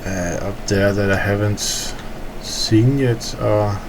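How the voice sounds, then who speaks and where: A man with a Danish accent talks in a low, gravelly voice, tired and full of hesitant fillers. He rambles casually while walking through a video game hangar. An air conditioner hums audibly behind him. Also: fairly even intonation, slow pace, noisy background. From Denmark. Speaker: gamer touring his in-game hangar. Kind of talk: casual game walkthrough commentary.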